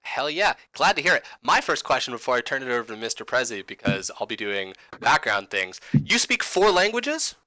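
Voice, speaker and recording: A man's voice: mid-range voice, sing-song, brisk, few pauses, pushed and bright, dry recording, poor audio. A man hosting an esports stream desk, interviewing a player with upbeat, enthusiastic reactions. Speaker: esports broadcast host.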